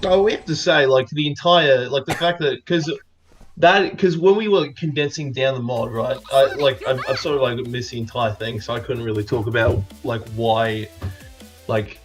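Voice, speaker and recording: A man giving casual developer commentary, rambling with lots of restarts. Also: mid-range voice. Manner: rambling, full of fillers and restarts. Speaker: mod developer.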